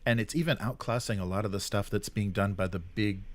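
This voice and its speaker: Low-voiced man, halting casual talker. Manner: halting, searching for words. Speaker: co-host of a weekly tabletop gaming show. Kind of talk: casual news discussion.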